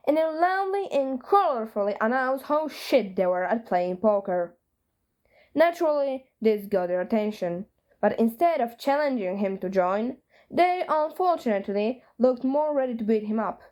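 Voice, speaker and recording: A woman's voice: fairly high pitch, darkish tone. A woman reading a story aloud as a scripted narration. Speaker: fan fiction reader.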